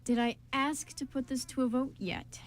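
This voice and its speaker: A woman's voice: high-pitched, steady tone, moderate pace, lightly acted. Woman as a haughty, menacing young council man. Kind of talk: amateur radio drama reading.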